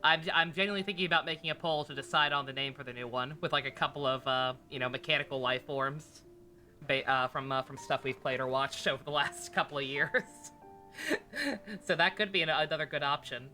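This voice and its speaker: A woman's voice: sits low, fairly quick, sharp tone. A woman live-streaming a game with casual, joking, sweary, rambling commentary. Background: game music.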